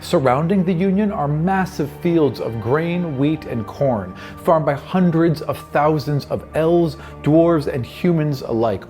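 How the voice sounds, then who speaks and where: A man's voice: on the high side, mellow. A man narrating a tabletop fantasy game as game master, shifting between descriptive storytelling and casual table talk. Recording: music bed under voice. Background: background music.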